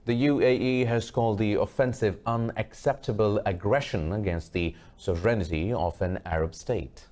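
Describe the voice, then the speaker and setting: A man reading a scripted news bulletin as anchor, formal and measured. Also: lowish voice, a little mellow.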